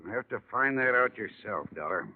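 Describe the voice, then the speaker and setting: An actor playing a gruff, evasive railroad foreman with a scared, surly streak in a 1950s radio drama. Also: on the low side, animated, a little fast, natural voice. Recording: muffled, faintly noisy old radio broadcast.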